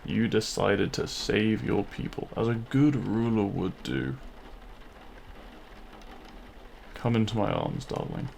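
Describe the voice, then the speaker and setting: An actor playing a demon king, a deliberate and intimate lover, speaking straight to the listener in a romantic audio roleplay. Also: fairly low pitch, sing-song, vocal fry, a little mellow, slight background noise, heavily acted. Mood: smug, commanding. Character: feared demon king, menacing in public, tender lover in private.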